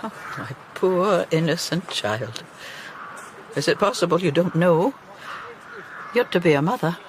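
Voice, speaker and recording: Kindly older gentleman, lightish pitch. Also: expressive, unhurried, noisy background. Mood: grave, tender. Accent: British. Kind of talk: radio drama acting.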